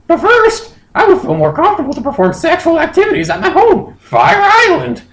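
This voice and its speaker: A man voicing an eager, demanding female bird monster in a squeaky, strained falsetto for a comedic story reading. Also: huge pitch swings, fairly quick, creaky, fairly bright tone, roomy sound, full character voice. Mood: pleased, bossy. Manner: gleeful, exaggerated, commanding. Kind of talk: comedic dramatic reading of fan fiction.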